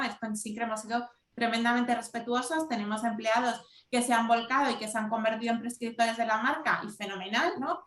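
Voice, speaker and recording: A woman who leads corporate communications, giving measured, explanatory answers on a webinar panel over a video call. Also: a little high, a bit bright, roomy sound. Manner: measured, explanatory, repeats points for emphasis.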